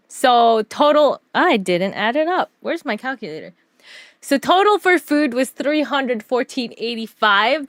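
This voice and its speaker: A woman streamer telling stories casually over a slideshow, animated, giggly and slangy in her delivery. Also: on the high side, no echo, studio quality. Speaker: streamer and artist.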